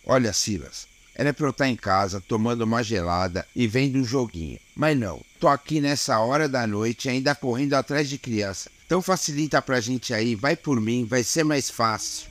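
A man's voice: mid-range voice, lively intonation, moderate pace, few pauses, close to an everyday voice. A man playing a weary, dogged small-town policeman in an audio drama, colloquial and grumbling, with a Brazilian accent. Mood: insistent. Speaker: voice actor.

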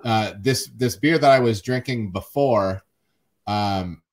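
Chatty low-voiced beer podcast host. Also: male voice, slow. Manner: chatty, repeats words while thinking aloud. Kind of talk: casual chat.

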